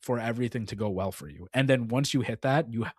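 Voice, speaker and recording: A man's voice: mid-range voice, fast talker, slightly rough, no echo, clean studio sound. A young man co-hosting a casual gaming podcast, rambling and filling his talk with "like" and "dude.". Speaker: podcast co-host.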